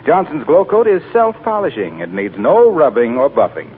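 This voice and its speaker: A thin, tinny vintage broadcast carries a male announcer. He delivers scripted lines in polished 1940s radio style, his slightly high voice swinging widely in pitch. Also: big pitch swings, normal speed, a little hiss or hum.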